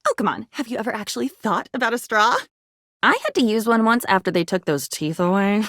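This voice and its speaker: A woman's voice: medium pitch, animated, fairly quick, a bit bright, a little hiss or hum, lightly acted. An actress playing an unhinged, dreamy woman with a volatile temper who rambles disjointedly in a video game. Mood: manic musing. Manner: disjointed rambling, swinging between wistful and agitated. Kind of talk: video game character voice acting.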